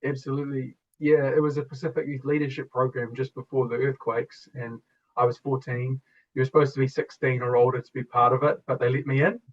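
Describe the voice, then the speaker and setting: A man in his twenties with a New Zealand accent, a guest in an interview speaking reflectively and earnestly with soft laughs. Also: mid-range voice, little pitch movement, a little fast, highs cut off. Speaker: guest, former student. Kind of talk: conversational interview.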